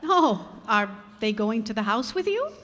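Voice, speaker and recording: A woman playing a gentle, gossipy middle-aged housewife who speaks solicitously, in old-time radio comedy style. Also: fairly high pitch, sing-song, measured pace, big-room sound. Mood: gently curious. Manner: solicitous, storytelling.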